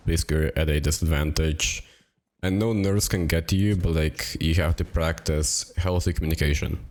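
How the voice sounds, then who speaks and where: A young man explaining a game tactic in a relaxed, rambling way, sounding raspy and low on energy from a cold. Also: moderate pace. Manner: relaxed and rambling, with many fillers. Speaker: gaming video creator. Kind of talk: casual tutorial narration. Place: home apartment.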